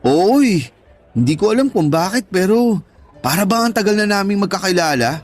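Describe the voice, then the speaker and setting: Guy acting as lovestruck young man, radio drama, pretty high voice, sincere. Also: animated, normal speed, soft background music. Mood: earnest. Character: earnest lovestruck young man waiting for his online girlfriend.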